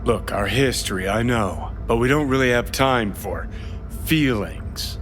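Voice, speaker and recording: Cyberpunk game rocker , male actor: brash, impatient, sardonic, medium pitch, prominent music underneath. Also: a little slow, fairly bright tone, close to an everyday voice. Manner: sardonic, swaggering, curt. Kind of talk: video game drama acting. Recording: game audio with music behind.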